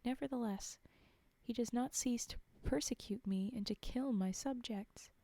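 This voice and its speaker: A woman's voice: on the high side, a little slow, creaky, dark tone, dry recording, pitched above the performer's own voice. A woman reading aloud the part of a haughty, demanding young princess in a fairy tale, in formal storybook phrasing.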